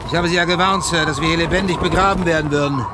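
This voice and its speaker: An actor playing an alarmed but deferential sailor on an icebound ship in a German radio drama, speaking urgently. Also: male voice, fairly high pitch, fairly even intonation, fast, close to an everyday voice. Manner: urgent, warning. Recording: loud outdoor ambient noise behind.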